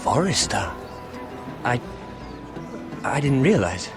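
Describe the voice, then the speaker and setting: A man's voice: mid-range voice, big pitch swings, slow pace. A man playing a clumsy, meek nobleman in a fantasy game, hesitant and apologetic as he talks. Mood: startled, defensive. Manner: hesitant, apologetic, soft-hearted. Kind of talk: fantasy video game acting. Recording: music behind the dialogue.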